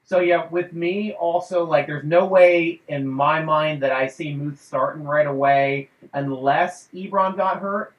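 A man hosts a relaxed fan talk show on a video call. He rambles through fillers and laughs between thoughts. His slightly high voice carries a roomy echo. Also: a little slow, hardly any pauses, dull audio. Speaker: podcast host.

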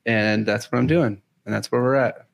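A man's voice: on the low side, fairly even intonation, moderate pace, creaky, a little hiss or hum. A man from Houston, Texas, a podcast guest talking easily with lots of fillers. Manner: easygoing, hesitant fillers. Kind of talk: casual video call chat.

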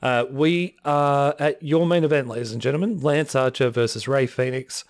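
A man on a podcast vents his frustration in a casual, rambling way, sighing between thoughts. His voice sits at an ordinary pitch and is a touch hoarse, recorded close and dry with no room sound. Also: normal speed, no echo. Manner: frustrated ranting with sighs. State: slightly hoarse. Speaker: podcast co-host. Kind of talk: casual chat.

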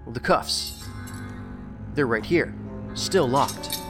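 A man playing a cool, observant federal investigator in an audio drama, polite, measured and inquisitive. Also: medium pitch, animated. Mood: curious, composed. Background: music.

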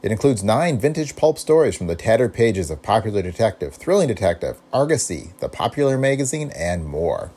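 A man's voice: on the low side, sing-song, brisk, hardly any pauses, mellow, breathy edge. A man hosting a podcast, reading a scripted introduction. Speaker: podcast host.

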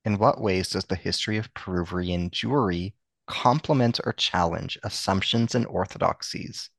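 Male interviewer, very low gravelly voice, dry close sound, formal read questions. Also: moderate pace, no room sound. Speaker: podcast interviewer.

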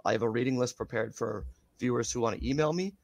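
A man speaking as a researcher guest in a casual interview, his voice raspy from being sick, hesitant with many fillers. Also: mid-range voice, fast talker, a little mellow, no room sound.

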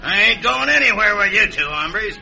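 An actor with an American accent playing a firm, scornful sheriff in an old radio western. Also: male voice, sits high, lively intonation, moderate pace, gravelly, bright, forceful tone, soft background music, heavily acted, low-quality recording. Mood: defiant.

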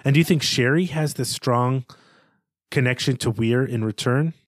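A man's voice: medium pitch, moderate pace, no room sound, studio quality. A man co-hosting a podcast, talking through a book in an analytical, conversational way. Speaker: podcast co-host. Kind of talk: literary discussion, conversational analysis.

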